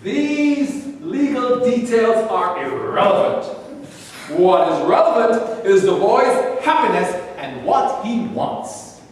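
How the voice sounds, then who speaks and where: A pompous, blustering sports agent, played by a man with a high voice and an unhurried, weighty delivery. He sounds indignant and pushy. The recording has a long echo from far off in a large hall, with faint noise behind. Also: sing-song, full character voice. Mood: dismissive. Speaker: actor in a staged reading. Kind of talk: staged play reading. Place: theater stage.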